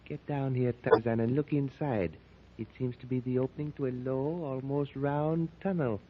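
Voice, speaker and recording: An actor playing a thoughtful, cautious companion in a 1930s radio serial, speaking deliberately with musing hesitations. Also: male voice, medium pitch, fairly even intonation, lightly acted. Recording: dull old radio recording with faint hiss.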